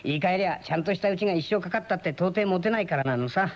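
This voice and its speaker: A man's voice: high-pitched, rapid-fire, lightly acted. An actor playing a chatty, wry friend in his thirties in a radio drama, explaining things colloquially. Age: 30s. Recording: dull lo-fi old radio recording.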